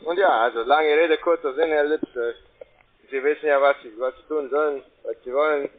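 A man working as a call centre agent, making a halting sales pitch with repeated words over the phone. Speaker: call centre agent selling trading investments. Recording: tinny narrowband phone line.

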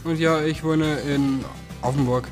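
A man in his twenties introducing himself haltingly in an interview. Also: a little high, steady tone, darkish tone. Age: 20s. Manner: hesitant, halting. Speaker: participant. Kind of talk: interview testimony. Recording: music bed under the voice.

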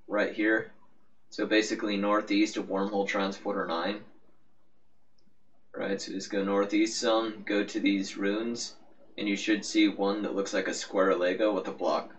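A man giving a casual, rambling, offhand game walkthrough as the host of a gaming video. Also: fairly low pitch. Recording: muffled, noisy, distant-sounding microphone.

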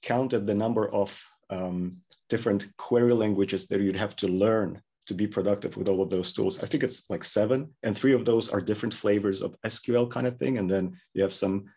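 Male, thirties, very low husky voice, hesitant conversational explainer. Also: a little fast, warm tone. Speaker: product engineer, interview guest. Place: indoor room, plain backdrop, video call.

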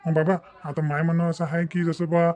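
Cartoon casting: man as money-minded grown son, high voice, hurried persuasive arguing. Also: flat delivery, darkish tone, lightly acted. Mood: persuading. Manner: persuading, repetitive, argumentative. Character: insistent, money-minded adult son of the family. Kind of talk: Marathi family cartoon dialogue.

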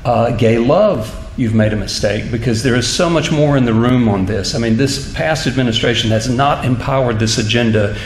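A middle-aged man, a minister, giving an earnest, halting public talk at a church lectern into a stand microphone. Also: mid-range voice. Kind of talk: public lecture in church, reading from notes. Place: church stage at a lectern, indoors. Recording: roomy hall echo, clear background noise.

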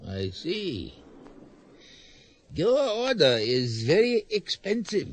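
An actor playing a suspicious, grasping island supply trader in a radio drama, needling with short questions. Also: male voice, high voice, wildly swooping pitch, slow pace, heavily acted. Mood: shrewd.

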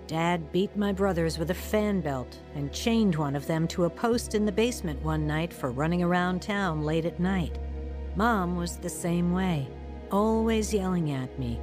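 An actress playing an emotional young woman in a radio drama, expressive and earnest. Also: on the low side. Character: reckless, emotional nineteen-year-old woman telling her story. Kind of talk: radio drama acting and first-person narration.

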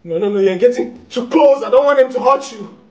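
Casting: young male actor as a desperate possessed man, strikingly high-voiced, anguished and halting, stage-style monologue. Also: darkish tone, highs cut off. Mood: pleading, fearful. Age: young adult. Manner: anguished, halting, swinging into outbursts. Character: tormented, demon-possessed man, desperate and despairing. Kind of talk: dramatic monologue performance. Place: tiled living room, indoors. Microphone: phone a few steps away.

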